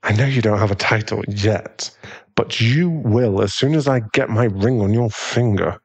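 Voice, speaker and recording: A man with a British accent playing a tender, protective aristocratic werewolf fiancé in a soothing ASMR boyfriend roleplay, his voice husky and genteel. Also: lowish voice, normal speed. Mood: playful, affectionate.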